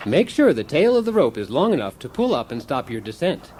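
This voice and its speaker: A man's voice: mid-range voice, big pitch swings, fairly quick, darkish tone, close to an everyday voice. An actor playing a cheerful, accident-prone handyman husband who hosts a spoof do-it-yourself radio show, narrating like a mock-earnest instructor. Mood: chipper, instructive. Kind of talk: scripted comic instructional narration in radio theater. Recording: clearly noisy, busy effects behind.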